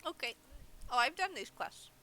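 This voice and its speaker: A woman's voice: fairly high pitch, very animated, moderate pace, pushed and bright. A woman chatting casually while she plays a game on a live stream. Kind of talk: casual live gameplay chat.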